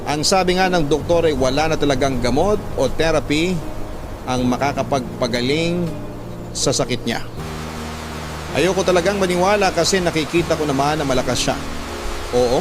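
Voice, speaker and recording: A man giving earnest, dramatic scripted narration of a personal story. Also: medium pitch. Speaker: narrator reading a letter sender's love story. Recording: loud music under the voice. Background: loud music.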